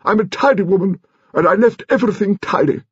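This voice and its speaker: A man's voice: high voice, lively intonation, brisk, slightly rough, dry recording, lo-fi sound, voice pushed up, exaggerated voice. A British man voicing an imperious, crusty old aristocratic grandmother in an audiobook, grand and cross in manner. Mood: grand, brisk. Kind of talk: audiobook character acting.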